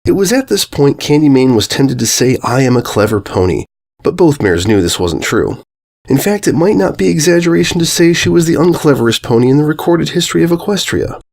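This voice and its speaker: A man narrating a scripted story in an expressive, storytelling style. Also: a little high, a little fast, vocal fry. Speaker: fan fiction narrator.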